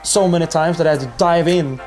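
Man, Swedish accent, raised pitch, casual interview answers, emphatic, repeats himself. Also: fairly quick, a little mellow, faint music behind. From Sweden. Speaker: podcast guest, ultra runner. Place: busy café.

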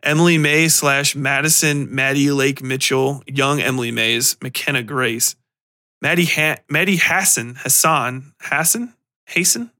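A man's voice: medium pitch, unhurried, sharp tone. A man hosting a podcast, rambling through casual film commentary in a profane, exasperated, sarcastic way. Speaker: podcast host.

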